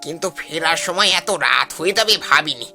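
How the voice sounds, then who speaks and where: A man voicing the ghost of a humble village carpenter and doting father, polite, plaintive and earnest, in an animated horror story. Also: a little high, a little fast, vocal fry. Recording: music behind the voice.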